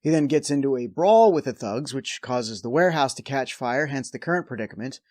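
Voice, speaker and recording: A man's voice: mid-range voice, animated, fairly quick, darkish tone, no room sound. A man hosting a review show, delivering scripted comedic recap narration with a wry, sarcastic edge.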